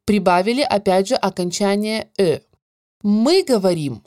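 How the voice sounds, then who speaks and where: A woman teaching a French grammar lesson, explaining clearly and stretching out the example words. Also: medium pitch, lively intonation, a little slow, darkish tone, no room sound, clean studio sound. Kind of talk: scripted grammar lesson narration.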